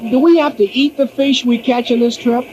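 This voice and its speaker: An actor playing an eager, curious young ranger with a boyish manner in an old time radio drama. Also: male voice, very high-pitched, steady tone, a little fast, dull audio, over music. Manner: inquisitive, boyish.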